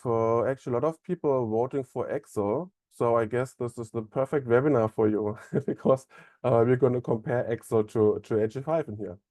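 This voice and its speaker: A young man with a German accent presenting a software webinar in a relaxed way, with many fillers and restarts. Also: mid-range voice, dry recording, studio quality. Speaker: onboarding specialist presenting a webinar.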